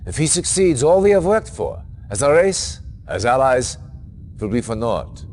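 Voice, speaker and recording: An actor playing a grave, authoritative military commander in a video game cutscene, speaking in a stern, formal, deliberate way. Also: male voice, mid-range voice, sing-song, darkish tone, a little hiss or hum.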